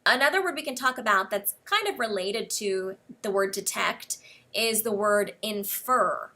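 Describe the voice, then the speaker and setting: An American woman teaching English one-on-one, explaining words slowly and spelling them out letter by letter. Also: mid-range voice, bright, forceful tone.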